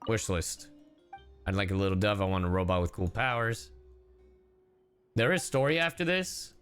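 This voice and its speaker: A man's voice: lowish voice, normal speed, a bit bright, clear, resonant tone, soft background music. A man streaming a game, chatting casually with his viewers, joking around and swearing freely. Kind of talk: casual livestream commentary.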